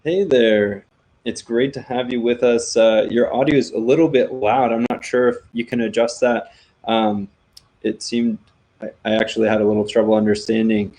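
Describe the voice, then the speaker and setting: A man's voice: medium pitch, fairly even intonation, moderate pace, darkish tone. A man co-hosting a casual live chat, friendly and welcoming to guests. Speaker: co-host.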